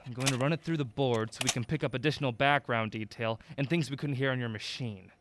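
A man plays a young amateur sleuth in a radio play. His voice is mid-pitched and natural. He comes across as earnest, helpful and easygoing. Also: fast, background noise, lightly acted. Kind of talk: audio drama acting.

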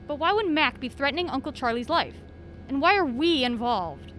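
An actress playing a practical, breezily unflappable traveler with brisk banter in a comic radio drama. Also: female voice, high-pitched, animated, a bit bright. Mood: curious, breezy. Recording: clear vehicle noise behind.

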